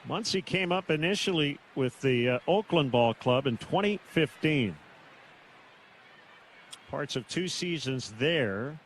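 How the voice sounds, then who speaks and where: A man doing live baseball play-by-play on the radio in measured, terse calls, with pauses between them. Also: on the high side, lively intonation, slight background noise. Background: outdoor ballpark ambience.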